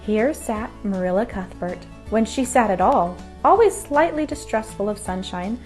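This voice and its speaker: A woman's voice: medium pitch, animated, normal speed, a little mellow. A woman narrating a children's bedtime story from a script. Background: music.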